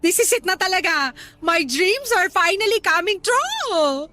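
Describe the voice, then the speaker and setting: A woman's voice: very high-pitched, sing-song, measured pace, bright, forceful tone, faint music behind, heavily acted. A woman playing a brash, money-minded young resort worker in a Tagalog radio drama, sassy and dropping in English phrases. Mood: giddy.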